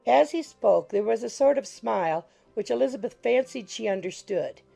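Creaky, husky female audiobook narrator. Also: a little high, animated, warm tone, faint music behind. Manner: measured, formal storytelling. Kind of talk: scripted literary narration.

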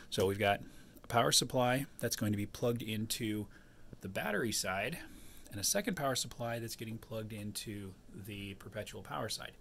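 A man's voice: on the low side, gravelly. A man giving a casual, unscripted hands-on DIY tutorial in an explanatory way, recorded indoors on a handheld camera. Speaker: DIY tinkerer presenting his own modification. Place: indoors at a wooden table.

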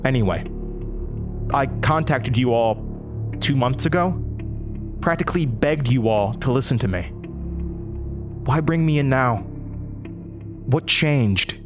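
A man performs a young American horror radio host in an audio drama, weary and sardonic. He talks slowly at an ordinary male pitch, with a dry, halting delivery. Music plays clearly behind his voice. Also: expressive, close to an everyday voice. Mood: frustrated. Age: 20s.

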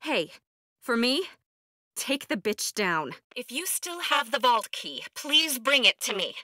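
An actress voicing an eccentric, arrogant woman scientist in a video game, blunt and socially awkward, with clipped, precise, deadpan delivery. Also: a little high, slow, dry recording, studio quality, exaggerated voice. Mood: regretful, bitter.

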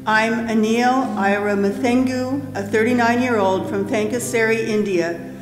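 A woman's voice: a little high, steady tone, measured pace. Older woman reading in echoey church. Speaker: volunteer reader. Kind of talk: solemn reading aloud. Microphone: lectern microphone. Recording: echoey church, guitar music behind.

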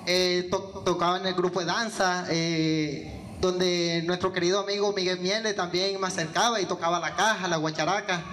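A man's voice: high voice, steady tone, normal speed, a bit bright. A young man, answering interview questions into a handheld mic in an indoor hall, hesitant with many fillers and restarts. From Venezuela. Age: young adult. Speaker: guest musician. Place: indoor hall with yellow walls. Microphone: handheld microphone.

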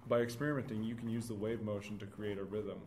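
A man's voice: lowish voice, fast talker, slight background noise. A young man in his twenties, an engineering student, steadily explaining how his team built a hand-powered washing machine. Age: 20s. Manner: steady, instructional. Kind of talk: project explanation and demonstration.

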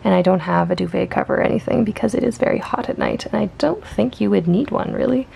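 Young woman from Canada, student vlogger; upbeat, chatty walkthrough, strong fry, mellow tone, handheld camera. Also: medium pitch, fast talker. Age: young adult. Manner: chatty, upbeat, with filler ums and small laughs. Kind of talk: casual walkthrough narration. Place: outdoors on campus, then indoors in a dorm room.

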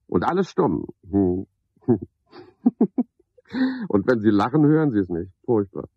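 Radio drama night porter: husky male, sardonic, clipped, muttering to himself, roomy slightly muffled sound. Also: on the low side, exaggerated voice. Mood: dry, officious. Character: cynical, chatty night porter, muttering grim calculations. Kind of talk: 1970s German radio play monologue.

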